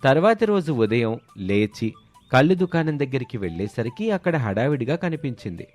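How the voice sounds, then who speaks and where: Male narrator for a scripted folk tale, mid-range pitch, light music bed. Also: very animated, moderate pace, a little mellow.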